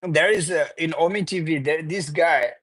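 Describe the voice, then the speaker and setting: A man's voice: fairly high pitch, moderate pace, fairly bright tone, no echo. A young man from Bangladesh hosting a casual late-night livestream, chuckling often as he reads viewer comments aloud and banters back. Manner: loose, repetitive, teasing banter. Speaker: livestream host. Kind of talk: casual live chat with viewers.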